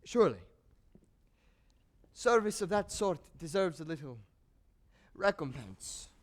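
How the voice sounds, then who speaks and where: Young man playing a high-pitched, scheming court gentleman. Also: sing-song, a little slow. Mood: sly, insinuating. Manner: courtly, hesitant, insinuating phrasing. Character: scheming, lecherous older male court composer, formal and courtly. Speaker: stage actor in a school one-act play. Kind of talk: theatrical stage period drama acting.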